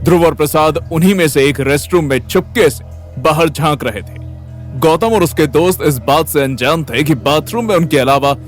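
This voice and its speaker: Slightly high-voiced male story narrator. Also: moderate pace, soft background music. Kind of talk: scripted audio story narration.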